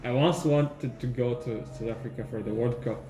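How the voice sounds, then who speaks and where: A man's voice: medium pitch, steady tone, moderate pace. A man from Rwanda working as a phone shop salesperson, chatting in a friendly way and agreeing often. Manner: friendly, lots of yeahs. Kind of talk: casual chat. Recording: echoey room, faint music.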